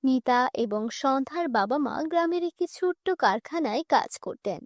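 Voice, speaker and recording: Lady narrating a kids cartoon story, high voice, dry close sound. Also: animated, normal speed, dry recording. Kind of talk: scripted children's story narration.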